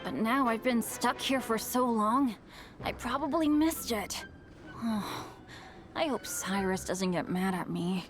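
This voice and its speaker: A woman voicing an unlucky teenage boy adventurer in an animated video game, earnest, eager and apologetic. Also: a little high, takes it slowly, over music. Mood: earnest, rueful.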